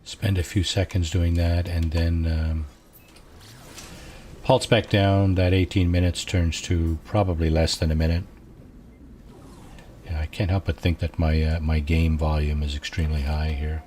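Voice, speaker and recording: A man with a low, soft voice, quietly talking through a video game at an unhurried pace, musing aloud with many fillers. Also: fairly even intonation, slight background noise. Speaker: gameplay streamer. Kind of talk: casual let's-play commentary.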